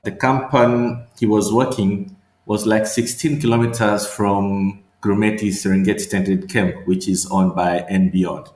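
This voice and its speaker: A middle-aged man from Tanzania speaks in a low voice, taking his time and searching for words between fillers. He is answering interview questions, and his voice rings in an echoey room. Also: fairly even intonation, darkish tone. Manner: slow and thoughtful, with frequent fillers and repeated words. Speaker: guest, regional manager and medical doctor.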